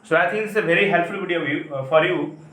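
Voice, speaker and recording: A man from India with an Indian accent and Hindi as his first language, giving an explanatory English lesson as a teacher, with halting, repetitive phrasing. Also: a little high, sharp tone. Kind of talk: spoken lesson, explanatory talk. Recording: echoey, roomy sound.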